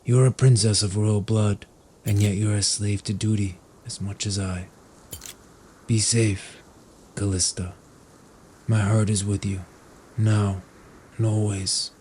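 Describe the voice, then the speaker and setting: A man voicing a devoted, soft-spoken soldier in an audio drama. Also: lowish voice, little pitch movement, takes it slowly, pauses often, slight background noise. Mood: tender, resigned. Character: devoted soldier Ledros, gentle and dutiful.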